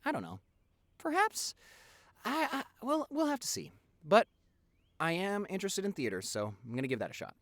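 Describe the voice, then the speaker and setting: A man's voice: mid-range voice, no echo. A young man playing a playful, affectionate boyfriend who rambles chattily and teasingly in an intimate audio roleplay. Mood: playful, musing. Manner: teasing, animated, chatty, expressive.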